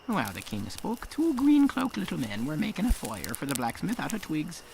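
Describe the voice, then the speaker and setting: A man playing a folksy fireside storyteller with an Irish accent and a creaky voice, narrating a tale. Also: on the high side, huge pitch swings, normal speed, darkish tone, a little hiss or hum, full character voice. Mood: storytelling. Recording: music underneath.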